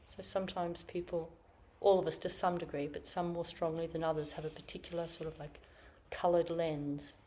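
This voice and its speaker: Low-voiced woman giving a slow Zen talk. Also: little pitch movement, warm tone, a little hiss or hum. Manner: slow, reflective, searching phrasing. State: slightly croaky from illness. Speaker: Zen teacher. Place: meditation hall with online audience.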